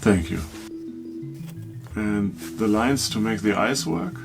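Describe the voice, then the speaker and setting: Man, craft video host, self-deprecating, eager questions, lowish voice, music behind. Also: animated, slow, gravelly. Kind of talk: scripted comedic tutorial dialogue. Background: background music.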